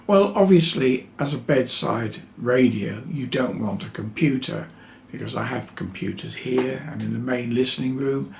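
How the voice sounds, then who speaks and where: Man, seventies, unhurried and crackly-voiced hobbyist explainer, dry and wry, unscripted. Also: mid-range voice, creaky. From New Zealand (lives there). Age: 70s. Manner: wry, dryly sarcastic, halting. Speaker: electronics hobbyist video host. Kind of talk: unscripted explanatory talk to camera. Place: small home electronics workroom, indoors.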